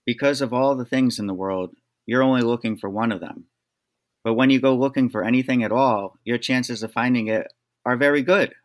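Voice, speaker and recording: A man's voice: mid-range voice, brisk. A man appearing as a podcast guest, talking casually, rambling enthusiastically and leaning on "like" a lot. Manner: rambling, heavy use of "like", enthusiastic. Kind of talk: casual conversation.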